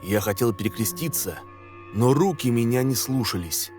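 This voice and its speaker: A man reading a scary story as a dramatic scripted narration, in a measured, suspenseful way. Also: mid-range voice, animated, a little mellow. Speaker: narrator of horror stories. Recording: music under the voice. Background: background music.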